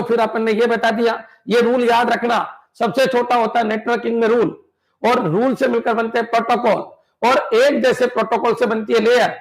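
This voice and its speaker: High-voiced man drilling exam students. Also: poor audio. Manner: repetitive, drilling, quizzes students with "clear?" and "बच्चों"; emphatic, pushes exam tips and motivation. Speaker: computer science exam coach. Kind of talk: classroom lecture to exam students.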